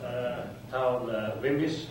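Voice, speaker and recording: An older man from Switzerland recalls his past in a plain, halting manner, pausing often. He sits well back from the microphone. The room is very echoey, and there is steady background noise. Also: medium pitch. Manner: plain, halting storytelling with many pauses. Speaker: interviewee. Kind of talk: oral history interview. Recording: echoey room, distant mic, noisy.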